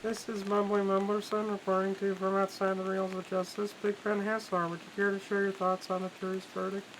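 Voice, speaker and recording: A man's voice: high voice, completely flat delivery, a little fast, very noisy. A man chatting casually on a podcast as a juror and playing at news reporting.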